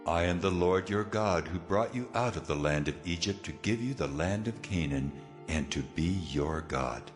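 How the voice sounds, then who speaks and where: A man playing God as a solemn, commanding lawgiver in a dramatized scripture reading, speaking with measured authority. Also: deep voice, expressive, music in the background. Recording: long cathedral-like reverb.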